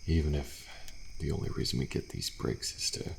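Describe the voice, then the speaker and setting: A man playing a weary, friendly male survivor in an ASMR audio roleplay, speaking in a soft, hushed, reassuring way with a deep, gravelly voice. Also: normal speed, slight background noise, exaggerated voice. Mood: calm, resigned. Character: weary, friendly male survivor of deadly trials, resigned yet hopeful. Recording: faint night insect ambience behind.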